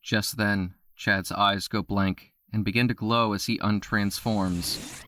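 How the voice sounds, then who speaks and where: A man narrates the scenes of an audio drama in a steady, descriptive way. His voice sits low and sounds bright and forceful. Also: takes it slowly, a little hiss or hum. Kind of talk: scripted narration.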